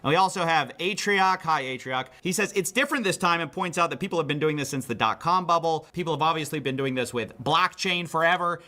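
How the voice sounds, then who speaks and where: Male video commentator, notably high and bright voice, emphatic, incredulous, conversational. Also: animated, fairly quick, few pauses. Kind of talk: conversational finance news commentary.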